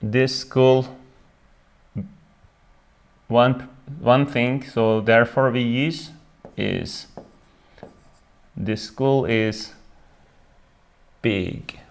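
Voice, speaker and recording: Man, English teacher, very slow, repeats words, smooth clear voice. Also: mid-range voice, steady tone. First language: Turkish. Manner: slow, repeats each word, checks with "right?"; switches between English and Turkish. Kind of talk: grammar lesson for beginners.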